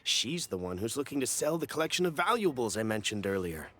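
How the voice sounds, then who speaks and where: A man's voice: medium pitch, big pitch swings, brisk, noisy background, close to an everyday voice. A man voicing a fussy, polite, fawning merchant in a video game, with hesitant turns of phrase. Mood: eager.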